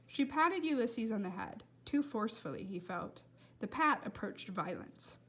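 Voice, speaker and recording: A woman reading a storybook aloud as narrator in an expressive style. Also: a little high, moderate pace. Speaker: storybook reader.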